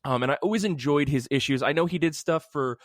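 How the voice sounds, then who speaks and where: A man's voice: a little high, fast, no echo, studio quality. A man hosting a podcast, chatting casually and enthusiastically in a rambling, informal way. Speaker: podcast host. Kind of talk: casual comic book podcast chat.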